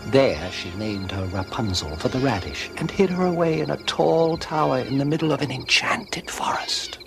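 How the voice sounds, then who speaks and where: A man narrating a scripted fairy tale as a storybook narrator, measured and expressive. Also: mid-range voice, vocal fry, over music, close to an everyday voice. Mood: storytelling.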